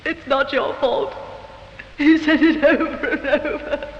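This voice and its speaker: High-voiced, distraught accused woman, old radio. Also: fairly even intonation, a little slow. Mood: grieving, shaken. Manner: emotional, pleading. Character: distraught young woman accused of shooting her lover. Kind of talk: 1950s radio drama acting. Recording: muffled, noisy, reverberant old radio recording.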